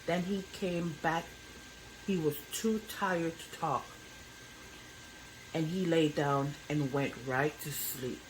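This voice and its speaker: A woman reading a children's bedtime story aloud as an expressive narrator. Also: sits low, slow pace, long pauses, slight background noise, low-quality recording.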